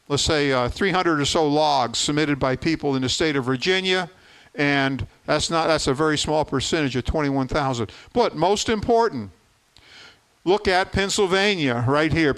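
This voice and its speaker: A man's voice: fairly high pitch, a bit bright, clear, resonant tone. A man giving an informal slide presentation to a club meeting, plain-spoken and urging his listeners on.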